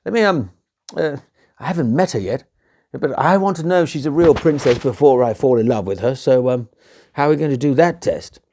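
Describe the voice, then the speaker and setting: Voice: mid-range voice, expressive, brisk, dark tone. A storyteller voicing an earnest, flustered young prince who hesitates and stammers. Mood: earnest, worried.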